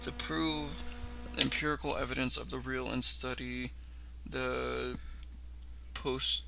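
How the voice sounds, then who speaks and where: A man's voice: medium pitch, little pitch movement, takes it slowly, creaky, noisy background. A man doing casual reaction commentary and reading text aloud, often stumbling over words and correcting himself. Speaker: reaction video host.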